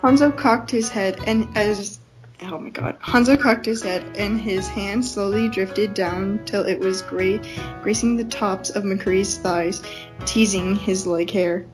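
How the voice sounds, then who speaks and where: A woman's voice: medium pitch, fairly even intonation, a little slow, poor audio. Woman reading fan fiction over guitar. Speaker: podcast reader. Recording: plucked guitar music behind. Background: plucked guitar music.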